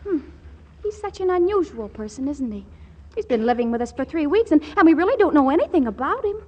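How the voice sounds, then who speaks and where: A woman's voice: high voice, big pitch swings, fast, dark tone. A woman playing a willful, lovesick young daughter with a petulant, melodramatic manner in 1940s radio comedy style. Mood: curious, needling. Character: willful, lovesick, tantrum-prone young daughter of a wealthy household.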